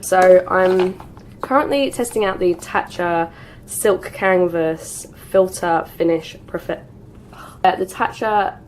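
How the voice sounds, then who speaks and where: A woman's voice: on the low side, darkish tone, a little hiss or hum, low-quality recording. Chatty young woman with a cold. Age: 20s. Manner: chatty, frequent "like" and "um" fillers. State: getting over a cold. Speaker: beauty vlogger. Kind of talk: get ready with me chat.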